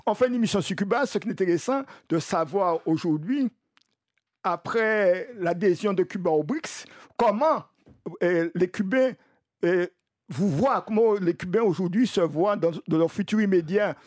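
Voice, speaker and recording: A man with a Martinican accent hosting a live radio discussion, explaining deliberately and repeating phrases as he builds his point. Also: high voice, darkish tone, dry recording, clean studio sound. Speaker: radio host.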